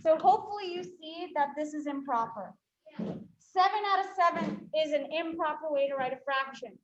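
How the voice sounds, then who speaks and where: A woman's voice: sits high, lively intonation, normal speed, echoey room, highs cut off. A woman with a New York accent teaching a fifth-grade math class, working problems aloud and quizzing her students. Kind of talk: live classroom math lesson.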